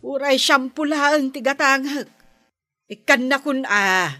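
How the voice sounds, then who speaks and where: A woman's voice: high voice, slow pace, sharp tone, no room sound, soft background music, full character voice. A woman playing a scolding, suspicious village captain's wife in an Ilocano comedy drama, with nagging exclamations and an Ilocano accent. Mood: angry scolding.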